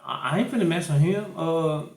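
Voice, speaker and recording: A man's voice: fairly high pitch, a little fast, gravelly, darkish tone, echoey room. A man hosting a podcast, talking loosely and rambling, with many restarts and fillers. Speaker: podcast host. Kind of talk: casual chat.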